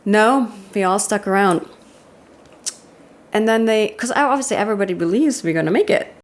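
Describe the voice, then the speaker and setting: A woman in her thirties with an Austrian accent, speaking candidly as a guest in a casual interview and laughing easily. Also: mid-range voice. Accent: Austrian German. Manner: candid, laughs often, quick self-deprecating asides. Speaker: guest, commercial director.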